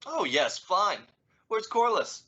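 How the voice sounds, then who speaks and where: A woman's voice: medium pitch, big pitch swings, takes it slowly, a bit bright, husky, full character voice. A woman playing a wry, exasperated but loving middle-aged father in an old-time radio sitcom, with emphatic, sarcastic delivery. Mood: warm, casual. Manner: dry sarcasm with emphatic swoops. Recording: dull, lo-fi audio.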